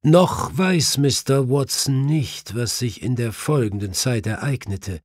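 A man voicing a self-assured, commanding gentleman detective in an audiobook, decisive and reassuring. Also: medium pitch, slow pace, no room sound, clean studio sound, voice pushed up.

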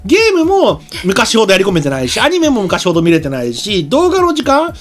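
A man's voice: sits high, wildly swooping pitch, a little fast, faint music behind. A man in his forties from regional Japan, chatting casually and enthusiastically as an anime talk show co-host, with a discursive manner. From regional Japan, outside Tokyo. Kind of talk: casual chat about anime. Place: small streaming studio, indoors. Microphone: desk microphone on boom arm.

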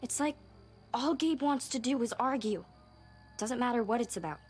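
An actress playing a gentle, candid young girl in a zombie survival video game. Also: fairly high pitch, animated, moderate pace, breathy edge. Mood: upset. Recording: faint music underneath.

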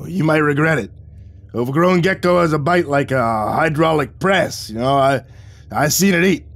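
A man voicing a crude, loud male creature handler who talks in a gruff, blunt, coarse way. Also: a little high, moderate pace, pitched above the performer's own voice. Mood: gruff, brash.

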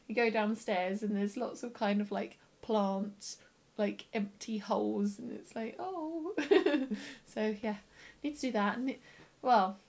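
A young woman with a croaky, tired voice, chatting casually to camera in her home studio. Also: a little high. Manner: chatty, rambling, with soft laughs. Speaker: illustrator vlogger. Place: home studio room with plants.

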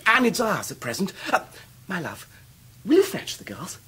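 An actor playing an effusive, good-hearted young married man in a radio drama, with a husky voice. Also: sits high, wildly swooping pitch, normal speed, pushed and bright, exaggerated voice. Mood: fond, proud. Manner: effusive, exclamatory.

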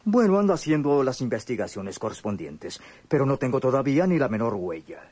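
A fussy, formal lawyer in a radio soap. His voice is husky and swings widely in pitch. He picks his words with pompous, hesitant precision. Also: very animated, brisk, a little mellow. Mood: solemn, wistful. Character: pedantic, formal male lawyer, courteous and fussy. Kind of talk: radionovela acting.

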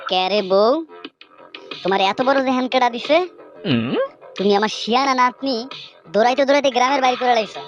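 A woman's voice: a little high, moderate pace, pauses often, lo-fi sound, faint music behind, close to an everyday voice. A woman voicing a protective, easily outraged old village grandmother in a comic cartoon, nagging indignantly with a Bangladeshi accent. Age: older.

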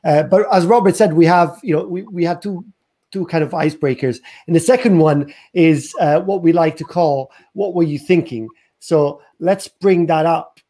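A man's voice: a little high, moderate pace, warm tone. A man in his thirties co-hosting a live online roundtable, friendly and a little hesitant with fillers. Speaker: co-host. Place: office room. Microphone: video call.

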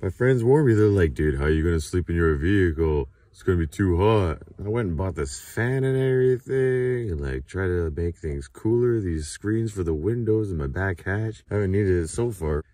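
A man in his thirties talking casually to a handheld camera about his travels, chatty and relaxed, full of fillers. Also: sits low, normal speed, hardly any pauses, dry recording, studio quality. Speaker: travel vlogger. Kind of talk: casual vlog talk to camera. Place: inside an SUV and outdoors by a coastal beach. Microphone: handheld phone or camera at arm's length.

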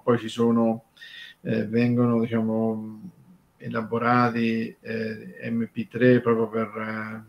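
A man co-hosting an unscripted radio conversation, talking in a halting, discursive way full of filler tags. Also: medium pitch. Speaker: radio show co-host.

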